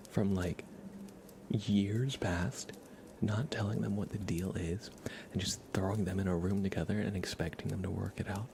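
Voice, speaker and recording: A man hosting an ASMR livestream, chatting informally in a soft-spoken to whispered voice, rambling along. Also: lowish voice, vocal fry, noisy background.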